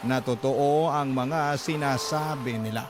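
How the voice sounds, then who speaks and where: A man's voice: mid-range voice, unhurried, over loud music. A man with a Filipino accent reading a scripted first-person story as a radio drama narrator.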